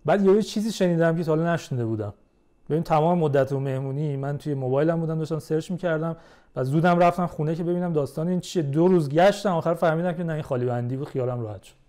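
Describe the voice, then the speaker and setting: Mellow, higher-pitched man chatting casually. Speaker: podcast guest. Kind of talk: casual conversation.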